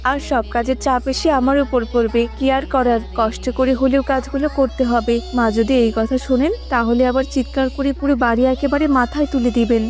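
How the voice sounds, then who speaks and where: A plaintive, overworked housewife in a family cartoon, acted by a woman. Her voice is fairly high as she frets dutifully, over faint music. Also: fairly even intonation, a little fast, few pauses, darkish tone, close to an everyday voice. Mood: resigned. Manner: plaintive, fretting. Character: dutiful, overworked eldest daughter-in-law, resigned and fretful. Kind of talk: Bengali family cartoon voice acting.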